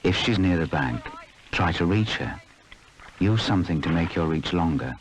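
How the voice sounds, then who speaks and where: Male narrator, exceptionally low voice, grave admonishing safety script, faintly crackly old soundtrack. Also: lively intonation, normal speed. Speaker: public information film narrator.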